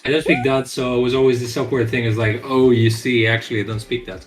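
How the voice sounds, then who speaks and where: A man chatting on a live game dev stream, loose and rambling, often restarting his sentences. He swears offhandedly and keeps the tone relaxed. Faint music plays underneath. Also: medium pitch, fast. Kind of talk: casual chat on a live stream.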